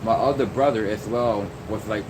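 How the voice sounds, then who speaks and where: A man's voice: on the low side, moderate pace. A young man talking in a loose, rambling vlog monologue, full of fillers and restarts. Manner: meandering, many fillers and restarts. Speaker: vlogger. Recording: noisy background hum.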